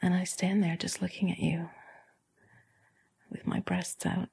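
A woman with a breathy, often whispered voice, softly and haltingly narrating an intimate first-person erotic roleplay straight to the listener. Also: fairly low pitch, little pitch movement. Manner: soft, halting, confiding delivery. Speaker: audio erotica performer.

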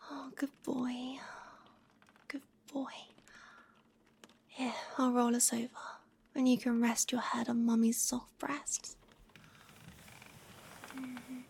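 A young woman whispering softly and breathily into a close microphone in an intimate, doting audio roleplay. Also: high voice, gravelly, husky.

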